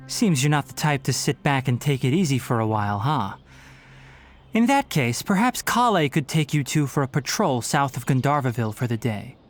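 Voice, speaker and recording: A man voicing a calm, caring young forest ranger mentor in a video game, youthful and reassuring. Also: medium pitch, moderate pace, soft background music, close to an everyday voice. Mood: friendly. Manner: composed, reassuring, instructive.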